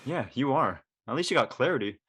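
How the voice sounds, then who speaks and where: A man's voice: mid-range voice, expressive, fairly quick, a bit bright, husky. A man playing an eager, cocky, teasing teenage boy with casual youthful talk in a drama table read.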